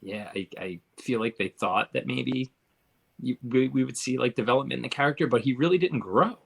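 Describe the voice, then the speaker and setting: A man's voice: mid-range voice, a little fast, creaky, slightly rough. A man with a mid-range voice speaks casually as a panelist in a group film discussion. His delivery is colloquial and conversational, as among peers.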